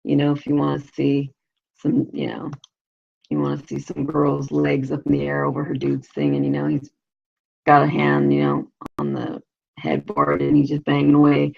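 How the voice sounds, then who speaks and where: A woman with a low, raspy voice hosting a casual live video show. She rambles crudely and leans on constant "you know" fillers. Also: big pitch swings, normal speed, highs cut off. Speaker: vlogger, show host.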